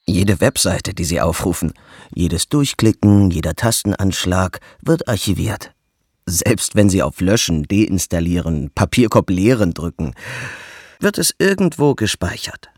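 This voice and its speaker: A man's voice: sits low, expressive, unhurried, a little mellow, breathy edge, no echo, studio quality, full character voice. An actor playing an ominous man giving a dramatic warning narration in a radio drama.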